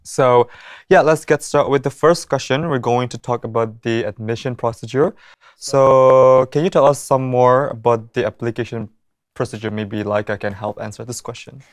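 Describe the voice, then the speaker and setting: A man's voice: mid-range voice, fairly even intonation, moderate pace, no room sound, studio quality. A young man from Indonesia with an Indonesian accent, hosting a student talk show in an informal, word-repeating way. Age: young adult. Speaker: student host.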